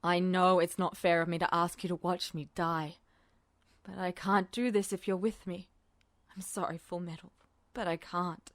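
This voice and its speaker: A woman voicing a grave, remorseful male military officer in an audiobook story, hushed, earnest and pleading. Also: fairly low pitch, steady tone, moderate pace, no echo, voice pushed down, close to an everyday voice. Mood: sorrowful, apologetic.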